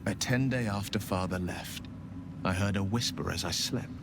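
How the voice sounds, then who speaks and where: An earnest young noble adventurer, solemn. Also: male voice, fairly low pitch, moderate pace, gravelly, background noise. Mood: solemn recollection. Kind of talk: video game dialogue acting.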